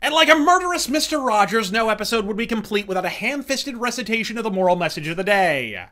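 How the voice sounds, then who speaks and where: A man's voice: high-pitched, big pitch swings, fast talker, over loud music. A man delivering scripted review commentary as a host, bombastic, sardonic, wordy and profane. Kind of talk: scripted rant-style commentary.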